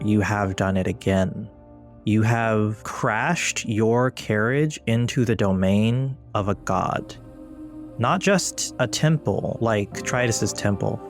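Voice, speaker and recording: A man runs a tabletop story as game master in a fairly low voice. He takes his time, setting out each phrase in a slow, deliberate way over prominent background music. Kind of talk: narrating a tabletop actual play.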